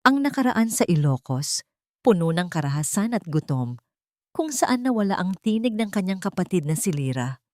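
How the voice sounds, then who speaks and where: A woman's voice: fairly low pitch, very animated, normal speed, no room sound. A woman with a Filipino accent reading a scripted, dramatic romance story as narrator.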